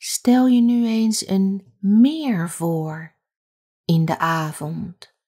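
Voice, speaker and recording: A woman leading a guided sleep meditation as a yoga teacher, speaking softly and gently with long pauses. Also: mid-range voice, fairly even intonation, slightly rough.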